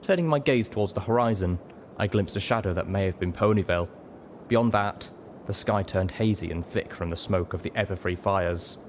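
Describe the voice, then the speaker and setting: A man's voice: low-pitched, normal speed, a little hiss or hum. A man reading first-person fiction aloud as scripted audiobook narration. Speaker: audiobook reader.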